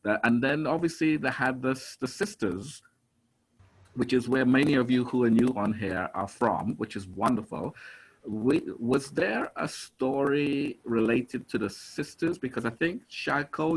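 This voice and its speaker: A man's voice: mid-range voice, slightly rough, low-quality recording. A man from England hosting a family video call, talking in a hesitant way with many restarts.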